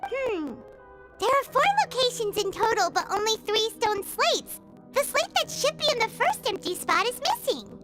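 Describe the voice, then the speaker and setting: A woman voicing a small, childlike fairy companion girl in a video game, naive and excitable, with a squeaky voice. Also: normal speed. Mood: curious. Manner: refers to self in third person, chirpy. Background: music.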